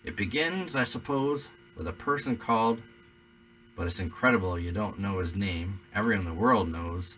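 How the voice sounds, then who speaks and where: Guy putting on a big gruff half-giant, rough and hearty, a bit louder than his usual narrating, bright edge. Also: lowish voice, normal speed, slight background noise, close to an everyday voice, low-quality recording. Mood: worried.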